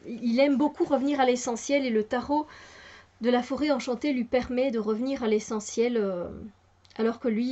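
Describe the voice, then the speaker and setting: A woman's voice: fairly high pitch, a little mellow. A woman reviewing a tarot deck in casual talk, hesitant with many fillers and restarts, and enthusiastic. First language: French. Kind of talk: casual spoken product review. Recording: muffled lo-fi home recording.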